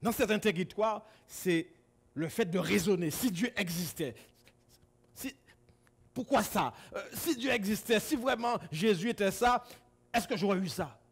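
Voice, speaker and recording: A man from Guadeloupe preaching a sermon to a congregation in a church hall, swinging between loud exclamations and low asides. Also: measured pace, slightly rough. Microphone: camera-recorded.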